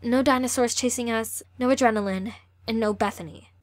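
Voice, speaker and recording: A woman's voice: a little high, fairly quick, no room sound, lightly acted. Woman playing earnest teenage girl, dry close sound. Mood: earnest, hesitant. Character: earnest, cautious sixteen-year-old girl in love. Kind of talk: amateur audio drama acting.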